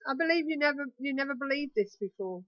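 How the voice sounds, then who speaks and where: A woman's voice: sits high, lively intonation, quick pace, no room sound, highs cut off. A woman co-hosting a radio interview, asking brief and direct questions. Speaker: radio co-host.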